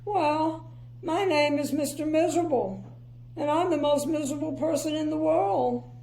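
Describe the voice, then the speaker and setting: A squeaky, mournful little man from a children's storybook, acted by an older woman. The voice sits high and whines with self-pity, with some creak in it and faint noise behind. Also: normal speed, dark tone, rough recording. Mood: miserable, sniffy. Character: sad, squeaky, sniffing, self-pitying miserable little man.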